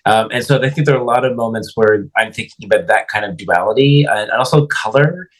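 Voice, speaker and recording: A man with a slightly low voice discusses his work as a composer. He is conversational and reflective, building his thoughts in measured phrases. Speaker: composer being interviewed.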